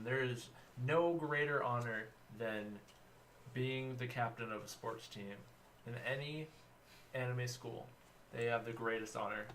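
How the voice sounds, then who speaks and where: A man's voice: mid-range voice, fairly bright tone, far from the mic, a little hiss or hum. A man co-hosting a casual comedy stream, arguing silly points in a playful, mock-earnest way. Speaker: podcast co-host.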